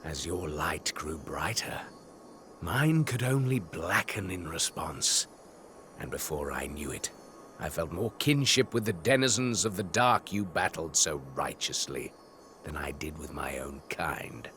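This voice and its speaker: Slow, brooding fallen knight. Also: male voice, very deep voice, lively intonation, bright, forceful tone, a little hiss or hum, full character voice. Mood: bitter, remorseful. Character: brooding fallen knight, bitter yet longing for his old friend. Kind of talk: dramatic video game voice acting. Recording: music behind the voice.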